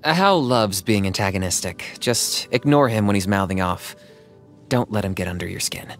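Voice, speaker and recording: An actor playing an aloof, pragmatic young hunter in a video game, speaking in a calm, reserved, matter-of-fact and dryly sardonic way. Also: male voice, fairly low pitch, normal speed, soft background music. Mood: dry, unimpressed. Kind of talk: video game character voice acting.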